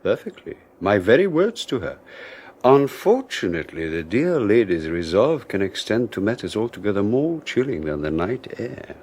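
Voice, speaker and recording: An actor playing a suave, scheming husband in a 1980s radio drama, smooth, smug and mocking. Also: male voice, lowish voice, very animated, moderate pace, darkish tone. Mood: ingratiating. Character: suave scheming male husband, middle-aged.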